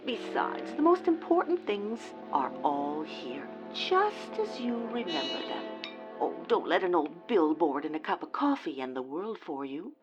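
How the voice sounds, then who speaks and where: Woman acting doting housewife, very animated lilting voice. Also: lowish voice, a little slow. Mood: soothing. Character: doting, soothing 1950s-style housewife. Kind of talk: comedic radio play acting. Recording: muffled audio with loud music.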